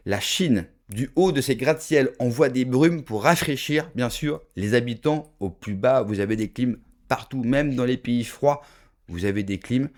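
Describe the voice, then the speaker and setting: A man from France delivers a pointed political monologue straight to his audience. He talks with rhetorical emphasis and frequent asides to the viewer. His voice sits at an ordinary middle pitch for a man. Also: measured pace, a little mellow. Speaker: political video commentator. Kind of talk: opinion monologue to camera.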